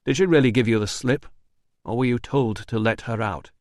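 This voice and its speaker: A man voicing a calm, dry-witted male investigator in an audiobook, level and sardonic. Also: mid-range voice, a little fast, no echo, close to an everyday voice. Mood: cool. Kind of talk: audiobook dialogue.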